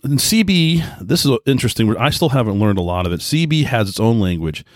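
A man's voice: mid-range voice, animated, a little fast, dry recording, clean studio sound. A man hosting a casual solo podcast, talking in a rambling, informal, conversational way. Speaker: podcast host. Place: indoors at home by a computer.

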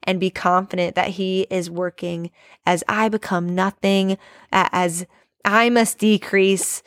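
Woman, late 20s, devotional podcast host, earnest and prayerful, mid pitch, dry booth-like sound. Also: flat delivery, a little slow, dry recording.

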